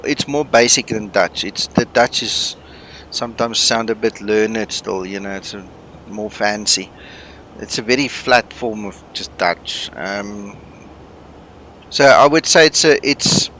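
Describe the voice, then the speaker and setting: Slow, bright-voiced man. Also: medium pitch, a little hiss or hum. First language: Afrikaans. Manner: relaxed, thinking aloud. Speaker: flight sim streamer. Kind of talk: casual chat with live viewers.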